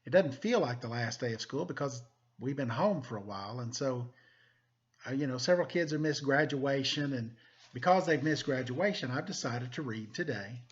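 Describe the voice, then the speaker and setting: A man's voice: mid-range voice, lively intonation, moderate pace, muffled recording. A man hosting story time, reading a picture book aloud to children with playful, self-deprecating, chatty asides.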